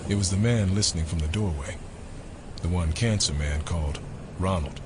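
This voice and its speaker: A man's voice: sits low, normal speed, background noise, natural voice. A man playing a bitter, secretive government informant in an audio drama, guarded, curt and ominous. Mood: grave recounting.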